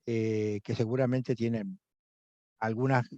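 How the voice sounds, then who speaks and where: Argentine guy teaching online, hesitant, pauses a lot, dry muffled call audio. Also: medium pitch, flat delivery, darkish tone, no room sound. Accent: Argentine Spanish. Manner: hesitant, many fillers, recommending and inviting students. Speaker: course instructor. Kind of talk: online class lecture.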